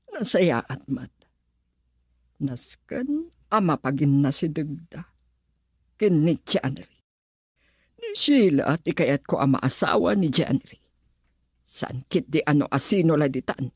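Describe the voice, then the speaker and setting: Husky, slow-talking middle-aged auntie. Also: female voice, low voice, very animated, a little mellow, no room sound, studio quality, full character voice. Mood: scheming. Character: doting, scheming middle-aged auntie, indulgent toward younger woman. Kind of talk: radio drama acting.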